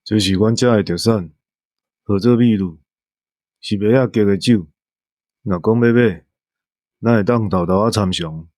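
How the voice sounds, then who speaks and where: Middle-aged village chief played by a man, hearty and welcoming, lowish dry voice, Taiwanese accent, radio drama. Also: measured pace, pauses often, darkish tone, no room sound, natural voice. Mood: explaining amiably. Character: hospitable middle-aged village headman and swordsman. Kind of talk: audio drama dialogue.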